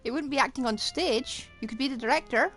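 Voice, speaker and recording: A woman's voice: high voice, brisk, fairly bright tone. A woman live streamer reacting casually to a game, joking and informal. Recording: game music behind the voice.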